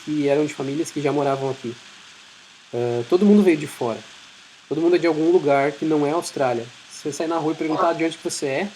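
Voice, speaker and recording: A man's voice: on the high side, mellow, slight background noise, poor audio. A man with a Brazilian Portuguese accent giving an informal, explanatory talk in a conversational way. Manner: conversational, explanatory, acts out short imagined dialogues. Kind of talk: informal presentation.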